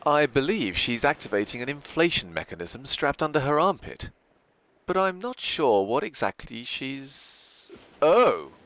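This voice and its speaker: A man playing a dignified, deferential butler with a formal, deadpan manner in a comic audio drama. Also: medium pitch, unhurried. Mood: flustered. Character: dignified, deferential male butler, easily flustered. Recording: dull lo-fi podcast recording.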